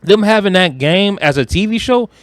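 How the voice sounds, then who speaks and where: A man's voice: high-pitched, lively intonation, moderate pace, no room sound. A man co-hosting a podcast, chatting casually about pop culture in a slangy, opinionated way. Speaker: podcast co-host.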